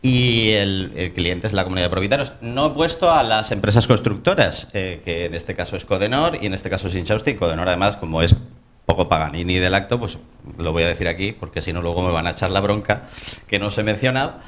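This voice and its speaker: A man's voice: sits low, expressive, fairly quick, a little hiss or hum. A man with a Spanish accent from Spain giving an informal conference presentation as an architect, colloquial and self-interrupting, with joking asides.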